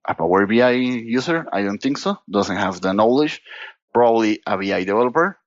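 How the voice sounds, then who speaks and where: Lo-fi Costa Rican man, halting. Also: lowish voice, expressive, no echo. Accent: Costa Rican Spanish. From Costa Rica. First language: Spanish. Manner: halting, frequent restarts and "you know", laughs easily. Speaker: guest data platform expert. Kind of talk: casual podcast interview.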